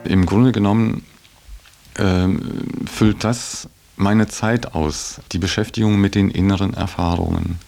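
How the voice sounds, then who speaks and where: Very deep, gravelly, thoughtful man. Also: fairly even intonation, dark tone, soft background music. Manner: halting, thoughtful, often ending phrases with a tag word. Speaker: interviewee in a radio feature. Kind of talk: reflective personal account in conversation.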